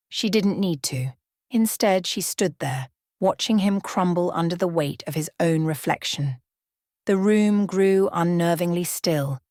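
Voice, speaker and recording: Female ASMR narrator: slightly low register, measured dramatic storytelling, hushed, very dry close-mic sound. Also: no echo. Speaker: storyteller, channel host.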